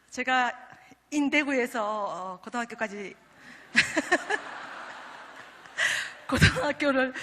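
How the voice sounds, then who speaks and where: Middle-aged woman w gyeongsang accent, pastor's wife joking through a sermon, high voice, big echoey church. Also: fairly bright tone. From Daegu, South Korea. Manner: chatty and joking, teasing the audience with asides. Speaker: pastor's wife speaking at a prayer meeting. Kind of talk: humorous testimony sermon. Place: large church sanctuary. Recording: long reverb of a large church hall.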